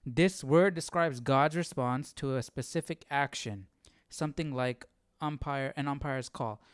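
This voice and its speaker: A man's voice: mid-range voice, measured pace, smooth, no echo, studio quality. A man hosting a Bible study livestream, reading aloud and commenting casually, often questioning and checking for agreement.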